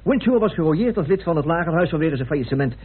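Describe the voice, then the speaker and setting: A man acting in a Dutch radio play as a brisk, excitable gentleman on a committee. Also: on the high side, breathy edge. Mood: eager. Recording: tinny, faintly noisy old radio recording.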